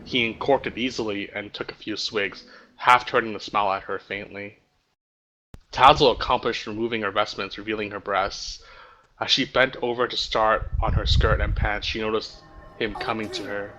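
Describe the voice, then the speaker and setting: A man's voice: on the low side, steady tone, moderate pace, pushed and bright, dull audio. A man reading roleplay text aloud to friends over voice chat, stumbling now and then and holding back laughter. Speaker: story reader. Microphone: online voice chat microphone. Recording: faint background noise on voice chat.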